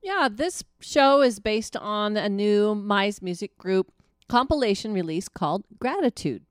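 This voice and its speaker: A woman's voice: medium pitch, expressive, measured pace, clean tone, no room sound, studio quality. A woman co-hosting a radio show as its producer, talking in a casual, conversational way. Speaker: executive producer and co-host.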